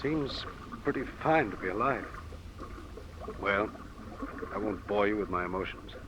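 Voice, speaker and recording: A man's voice: on the low side, animated, a little slow. An actor playing a nervous but brave man facing death in an old-time radio drama, earnest, theatrical and apprehensive. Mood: resigned, somber. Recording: noisy old radio recording.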